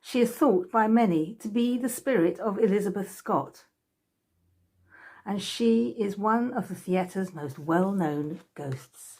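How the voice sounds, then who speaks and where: A woman reading a scripted narration aloud in a measured, storytelling way. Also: medium pitch, warm tone, slightly rough. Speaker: author reading from own book.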